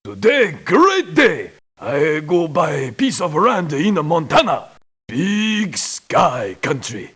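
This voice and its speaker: Slow-talking, folksy cowboy mercenary. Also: male voice, a little high, big pitch swings, fairly bright tone, husky, heavily acted. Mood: folksy, cocky. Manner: broken English full of cowboy slang. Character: drawling cowboy mercenary man, broken English, folksy and cocky. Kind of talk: video game character voice lines.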